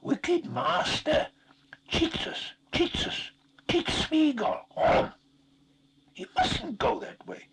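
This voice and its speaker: A man's voice: very high voice, huge pitch swings, takes it slowly, fairly bright tone, voice pushed up, exaggerated voice. A man voicing a wretched, malicious creature in a storybook reading, hissing, whining and wheedling with repeated phrases. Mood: hissing, accusing. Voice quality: hissing, whiny, breathy shrieks. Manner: repeats phrases, pleading, sibilant.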